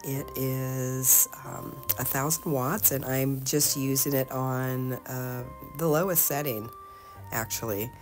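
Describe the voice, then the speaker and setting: Very low-voiced, slightly hoarse woman. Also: steady tone, takes it slowly, over music. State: slightly hoarse from being sick. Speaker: host of an art tutorial channel. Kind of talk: casual painting tutorial narration.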